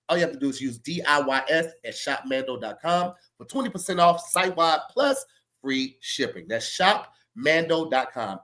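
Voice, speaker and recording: A man co-hosting a podcast, stuffy with a cold, talking in a loose, joking way and reading an ad with drawn-out emphasis. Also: fairly high pitch, fairly bright tone. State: stuffy, congested. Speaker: podcast co-host. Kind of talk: casual chat and read ad.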